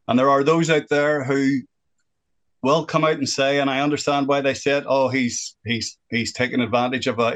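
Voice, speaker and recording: A sixty-something man with a Northern Irish accent holds forth on a radio phone-in. He is earnest and opinionated and speaks in a middle-pitched voice. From Northern Ireland. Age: 60s. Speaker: radio guest.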